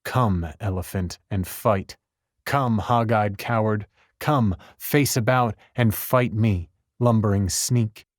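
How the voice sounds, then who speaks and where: A man's voice: on the low side, slow pace, no echo, studio quality. A man giving a dramatic reading of narrative verse. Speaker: poetry reader in a group recording.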